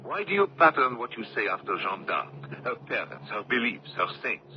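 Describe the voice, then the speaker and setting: An actor playing a pompous, sadistic collaborator interrogator in a radio drama, with imperious, menacing, theatrical phrasing. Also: male voice, mid-range voice, big pitch swings, moderate pace, lightly acted. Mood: menacing interrogation. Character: cruel, pompous male French collaborator interrogator, sadistic and sneering. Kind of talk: 1970s radio drama acting. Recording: dull, noisy old radio broadcast recording.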